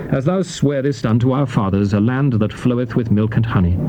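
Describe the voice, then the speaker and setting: A male actor playing a solemn lawgiver in a dramatized King James Bible reading, speaking in a measured, declamatory manner. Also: mid-range voice, natural voice. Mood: solemn, reverent. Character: solemn male lawgiver addressing his people in scripture. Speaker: scripture narrator. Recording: dull noisy old cassette tape.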